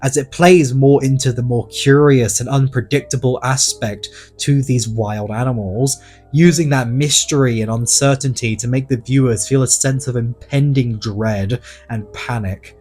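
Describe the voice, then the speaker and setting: A man's voice: mid-range voice, a little mellow, breathy edge. A man narrating a scripted video essay in an earnest way, leaning on words for dramatic emphasis. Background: background music.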